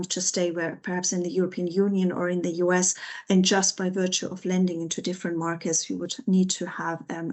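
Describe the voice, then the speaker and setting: Woman explaining in a very even tone. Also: mid-range voice. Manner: explanatory, long winding sentences with hesitant pauses. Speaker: tax specialist panelist. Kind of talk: expert panel discussion, unscripted.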